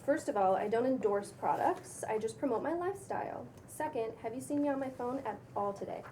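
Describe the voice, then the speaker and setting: A breezy, self-assured young female influencer, acted by a woman at a table read in a fairly high voice. She talks casually with a preachy streak, over faint background noise. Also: lively intonation, fairly quick, warm tone, lightly acted. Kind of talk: cold table read of a pilot script.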